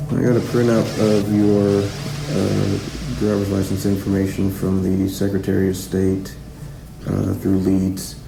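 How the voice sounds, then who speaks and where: An actor playing a methodical small-town police chief conducting a recorded interview in a halting, procedural way, in a naturalistic fiction podcast. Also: male voice, on the low side, vocal fry, background noise. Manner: procedural, halting, full of hesitations. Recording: roomy interview-room echo, music behind.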